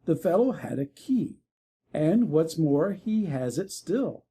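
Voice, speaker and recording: Man acting a frank young gentleman, slightly husky. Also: fairly high pitch, very animated, takes it slowly, heavily acted. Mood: slightly sullen. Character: frank, worldly young gentleman, reticent about gossip. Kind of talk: dramatic audiobook reading.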